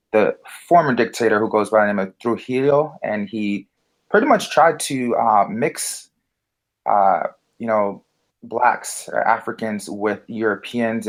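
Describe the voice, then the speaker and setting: Low-voiced man co-hosting, halting. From United States. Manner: hesitant, many fillers and restarts. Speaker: podcast co-host. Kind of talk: casual discussion.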